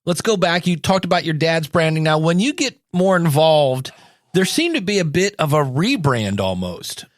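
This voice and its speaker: A male podcast host with a fairly high, very lively voice chats through a casual comedic interview. His pitch moves freely as he asks questions. The sound is dry, with no room around it. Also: normal speed, no room sound.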